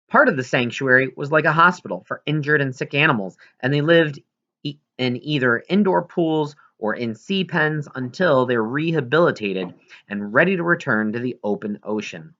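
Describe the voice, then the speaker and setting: A man's voice: fairly high pitch, expressive, moderate pace, sharp tone, dull audio. A man reads a children's novel aloud as scripted audiobook narration. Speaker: audiobook reader.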